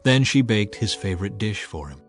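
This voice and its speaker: A man reading scripture as an audiobook narrator, in a measured, expressive, dramatized storytelling style. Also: on the low side, faint music behind.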